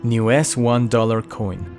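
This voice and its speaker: A man's voice: medium pitch, slow pace, a little mellow, faint music behind. A man giving a scripted explainer narration as the host of a video.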